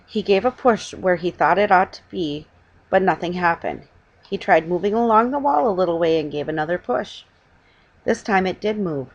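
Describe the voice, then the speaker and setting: Husky middle-aged woman reading a storybook. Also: fairly low pitch, lively intonation. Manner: halting reading with stumbles and self-corrections. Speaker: reader. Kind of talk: storybook read-aloud. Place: small living room at home, indoors. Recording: dull lo-fi webcam sound.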